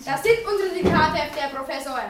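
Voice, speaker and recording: A woman's voice: high-pitched, fairly quick, over a noisy crowd, close to an everyday voice. A woman playing a respectful, matter-of-fact schoolboy in a radio play, formal and prompt. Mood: dutiful reporting. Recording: echoey room with faint noise.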